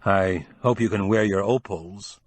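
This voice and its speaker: A man voicing a dry-witted middle-aged man who speaks wryly and slowly. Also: on the low side, no echo. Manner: dry, wry.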